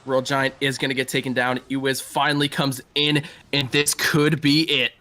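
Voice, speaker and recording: Bright-voiced American male esports caster. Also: mid-range voice, monotone, moderate pace. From Massachusetts, United States. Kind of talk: analytical live game commentary.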